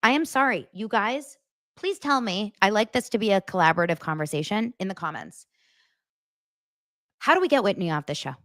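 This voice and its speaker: A woman's voice: medium pitch, lively intonation, brisk, a bit bright, no echo, clean studio sound. A woman hosting a daily pop culture show, chatting to her audience in a gossipy, exaggerated way. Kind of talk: casual chat to camera.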